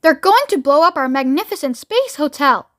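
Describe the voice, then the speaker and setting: A woman voicing a pompous, short-tempered male President with a rasping voice in a children's audiobook, barking orders. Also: sits high, animated, normal speed, a bit bright, no echo, clean studio sound. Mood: furious.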